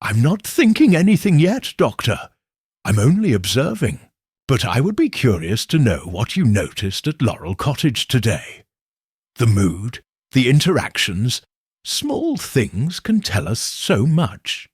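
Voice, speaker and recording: A man voicing a mild, shrewd elderly woman sleuth in audiobook dialogue, soft and measured. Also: medium pitch, sing-song, slightly rough, dry recording, clean studio sound, exaggerated voice. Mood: calm, knowing.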